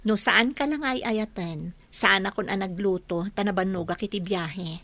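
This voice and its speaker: Bright-voiced suspicious wife played by a woman. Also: mid-range voice, normal speed. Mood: suspicious, caring. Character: suspicious, doting wife and mother, pointedly questioning. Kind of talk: radio drama acting.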